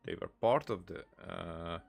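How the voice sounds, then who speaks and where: Brief: low gravelly man, let's play commentary, halting and thinking aloud. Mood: musing. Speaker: streamer.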